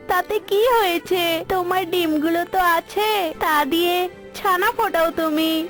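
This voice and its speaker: A woman as a very high, consoling little pigeon. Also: exaggerated voice. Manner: gentle, consoling, sisterly. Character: cheerful, comforting neighbour pigeon, younger friend. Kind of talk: cartoon voice acting.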